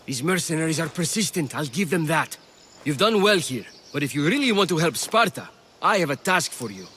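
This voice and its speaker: A man's voice: on the high side, very animated, brisk, sharp tone, slight background noise. An actor playing an earnest, formal male Spartan soldier handing out orders in a video game. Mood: urgent, earnest. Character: male Spartan soldier, urgent, grudging quest giver. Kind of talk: dramatic game cutscene acting.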